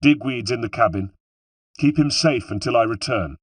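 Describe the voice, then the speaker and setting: Male narrator as a vengeful young gentleman hero, forceful, higher-pitched, husky, audiobook adventure, roomy distant recording. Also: moderate pace, natural voice. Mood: urgent command. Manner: roaring, demanding, forceful.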